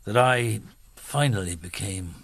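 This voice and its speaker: A man acting a weary, gruff middle-aged chief inspector in a radio drama, wryly reflective, with a husky voice. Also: lowish voice, measured pace, fairly bright tone, dry recording, exaggerated voice. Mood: grave, regretful.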